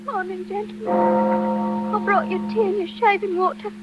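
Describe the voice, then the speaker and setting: A meek young country maid in a 1940s radio drama. Her small voice is extremely high and sorrowful. The recording is old and muffled. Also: fairly even intonation, normal speed, over loud music. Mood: meek, subdued.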